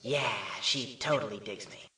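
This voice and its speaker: A man's voice: medium pitch, moderate pace, pushed and bright, slightly rough. A man playing a cocky, carefree twelve-year-old boy hero in a comedic cartoon parody, flippant and boastful. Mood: breezy.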